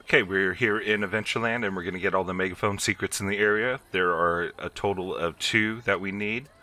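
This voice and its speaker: Guy with a low but sharp bright voice walking through a video game step by step. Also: fairly even intonation, a little fast. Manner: instructional, step-by-step narration. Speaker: gameplay video host. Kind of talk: video game walkthrough commentary.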